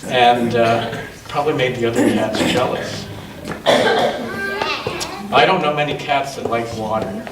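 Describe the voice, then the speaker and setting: A man reading a children's story aloud with expression, in measured phrases and with chatty asides to young listeners. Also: medium pitch, heavy background noise. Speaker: storyteller at a church gathering. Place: large indoor church room. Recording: roomy church recording, distant mic.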